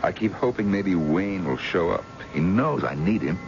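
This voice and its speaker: An actor playing a touchy, domineering wealthy husband in a radio drama, with a gruff, emphatic, irritable manner. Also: male voice, sits low, expressive, moderate pace. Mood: impatient. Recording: dull, noisy old radio broadcast recording.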